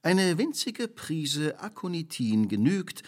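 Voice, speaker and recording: An actor playing a shrewd, relentless detective in a radio drama, speaking precisely, formally and theatrically. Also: male voice, a little high, sing-song, takes it slowly. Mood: grave, revealing. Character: shrewd, relentless male detective laying out a crime.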